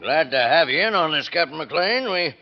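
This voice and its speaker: An actor playing a big, folksy small-town sheriff with a blustering, nervously jovial manner in 1940s radio drama style. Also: male voice, fairly high pitch, expressive, moderate pace. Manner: folksy, blustering, rustic phrasing. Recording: dull old 1940s radio recording, loud music.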